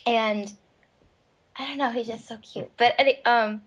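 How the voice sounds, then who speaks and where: A woman's voice: on the high side, fairly even intonation, measured pace, muffled recording. A young woman chatting casually in a gushing way, peppering her talk with "like". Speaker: amateur voice actor. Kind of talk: casual chat.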